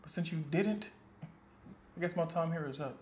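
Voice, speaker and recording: A man's voice: sits high, big pitch swings, a loud crowd. A man playing a sassy, dramatic best friend who feels betrayed, in a comedy skit. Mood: hurt, sassy. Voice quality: sassy, theatrical, drawn-out delivery.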